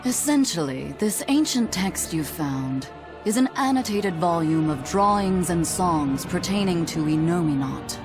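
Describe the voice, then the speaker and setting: A wry old woman of learning, talks in a low voice and takes her time. She muses and teases like a knowing teacher in a fantasy game, with music behind her. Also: expressive. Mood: explaining. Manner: teasing, deliberate, musing. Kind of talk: video game cutscene voice acting.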